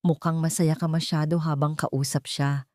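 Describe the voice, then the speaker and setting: A possessive Filipino groom, voiced by a woman narrator in an audio storybook. He speaks with measured control and a pointed edge, in a dry, close recording. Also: deep voice, flat delivery, a little mellow, dry recording, natural voice. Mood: cold, jealous. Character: possessive, controlling wealthy groom, outwardly tender.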